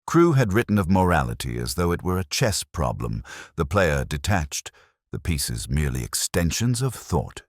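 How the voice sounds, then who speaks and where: A man with an exceptionally deep voice narrates a detective story in the first person. His delivery is measured and brooding, with a literary turn and a lively, varied melody. The sound is very dry, with no room around it. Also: dry recording.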